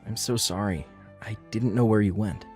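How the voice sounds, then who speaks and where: An earnest, protective husband, voiced by a woman narrator in a deepened voice. He talks bluntly, as in a domestic drama story, with music playing underneath. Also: normal speed, dark tone. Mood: apologetic. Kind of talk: acted dialogue in narrated family drama story.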